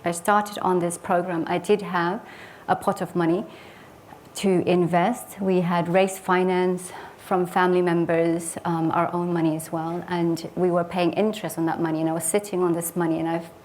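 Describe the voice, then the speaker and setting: A woman from Sweden speaks in a fairly low, steady voice, sharing her investing journey with an audience. She is earnest and reflective and often pauses on small hesitant fillers. A faint hum of noise sits underneath her. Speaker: property investor giving a case study talk. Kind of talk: personal case study presentation.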